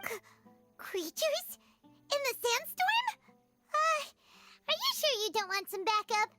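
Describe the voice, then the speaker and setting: Squeaky, bright little fairy girl. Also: big pitch swings, slow, heavily acted. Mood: startled. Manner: exclamatory, questioning, wide-eyed. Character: tiny childlike female fairy companion, excitable and inquisitive. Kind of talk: video game voice acting. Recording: music behind the voice.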